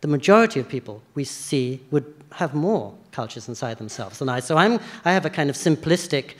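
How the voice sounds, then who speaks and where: A man in his sixties from England, an author talking on a festival stage into a stand mic, measured and eloquent. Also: a little high, sing-song. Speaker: author guest. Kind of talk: onstage literary conversation. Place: theater stage at a book festival, live audience.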